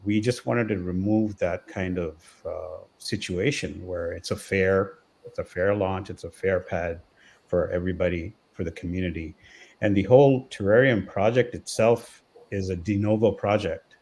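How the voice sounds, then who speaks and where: Very low-voiced man on a live stream. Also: moderate pace, darkish tone. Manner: loose, rambling with fillers. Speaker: project team member.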